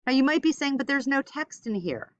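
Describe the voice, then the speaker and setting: A woman teaching a step-by-step software tutorial informally, thinking aloud as she demonstrates. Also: sits high, expressive, brisk, no room sound, highs cut off. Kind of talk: casual screen-recorded step-by-step tutorial.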